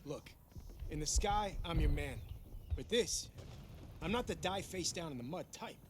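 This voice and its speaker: An actor playing a cocky, defiant navy pilot lieutenant in a video game cinematic. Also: male voice, fairly high pitch, expressive, a little slow. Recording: heavy battle noise behind the voice.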